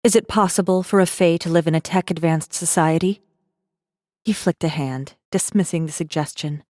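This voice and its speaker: A guarded rebel messenger, voiced by a woman, tells her story in a deep, dark female voice. The audiobook delivery is tense, measured and dramatic, with a very dry, close sound. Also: no echo, lightly acted. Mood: probing, curious. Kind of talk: dramatic first-person audiobook narration.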